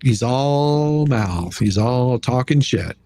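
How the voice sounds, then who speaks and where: A man with a slightly husky voice hosting a casual livestream commentary in a blunt, mocking, colloquial way. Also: medium pitch, expressive, takes it slowly, warm tone. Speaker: livestream host.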